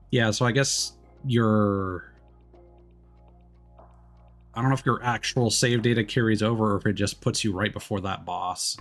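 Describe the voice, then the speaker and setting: A man giving laid-back, noncommittal commentary while livestreaming a video game. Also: on the low side. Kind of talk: casual gameplay commentary. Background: game music.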